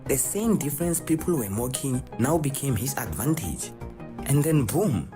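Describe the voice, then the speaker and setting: A woman narrates a video in short, slangy bursts. Her voice sits very low. Music plays clearly behind her. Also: big pitch swings, normal speed. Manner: slangy, punchy storytelling. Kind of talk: scripted narration.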